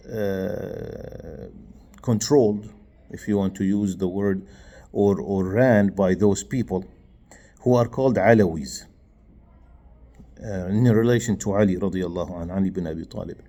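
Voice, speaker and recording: A man from Syria gives a relaxed religious lesson in a low, husky voice with a mellow, dark tone. He explains slowly, with frequent fillers and restarts, and his voice often sinks quietly at the ends of phrases. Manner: switches into recited Arabic phrases. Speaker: religious teacher. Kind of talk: informal recorded class lecture.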